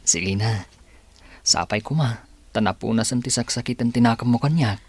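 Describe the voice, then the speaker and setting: A man's voice: medium pitch, moderate pace, husky, no echo. A man playing an earnest, respectful young adult son in an Ilocano radio drama, with an Ilocano accent. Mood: tender. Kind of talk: radio comedy-drama acting.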